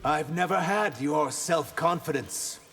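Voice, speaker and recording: Slow-speaking reserved reptilian shape-shifter. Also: male voice, a little high, a bit bright, slight background noise. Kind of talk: video game fight banter.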